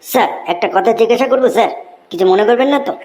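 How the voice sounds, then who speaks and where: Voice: medium pitch, racing, a little mellow, close to an everyday voice. An actor voicing a cheeky young schoolboy with an earnest, deferential, pleading manner in a cartoon comedy. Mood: mock earnest. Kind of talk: exaggerated Bengali cartoon comedy dubbing.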